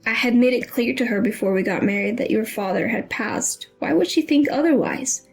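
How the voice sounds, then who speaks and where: A woman's voice: on the high side, lively intonation, normal speed, gravelly, soft background music. A woman narrator voicing a worried, probing mother with an earnest, questioning manner. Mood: pressing. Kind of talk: dramatised story dialogue.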